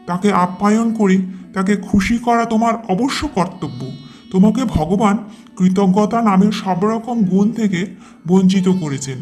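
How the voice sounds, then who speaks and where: A woman's voice: mid-range voice, a little slow, a little mellow, voice pushed down, natural voice. A woman voicing a foolish, reluctant male crocodile from a children's storybook, with an earnest, emphatic delivery. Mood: glum, reproachful. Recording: music behind the voice.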